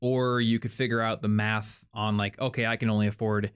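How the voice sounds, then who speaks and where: A man's voice: lowish voice, flat delivery, fairly quick, clear, resonant tone. A young man, hosting a casual podcast chat and explaining ideas with plenty of fillers. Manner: chatty, explains things with lots of "like" and "um". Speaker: podcast host.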